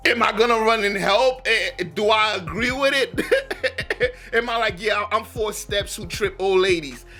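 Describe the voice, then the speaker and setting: High-voiced, bright, slangy reaction host. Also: male voice, unhurried, soft background music. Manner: animated, laughing, slangy chatter with swearing. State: losing his voice. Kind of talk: casual reaction commentary.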